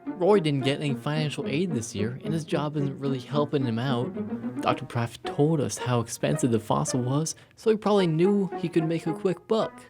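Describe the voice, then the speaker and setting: An actor playing a defensive, accusatory young college student in an audio drama, insistent and dismissive. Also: male voice, a little high, animated, moderate pace, music in the background. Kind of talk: scripted audio drama acting.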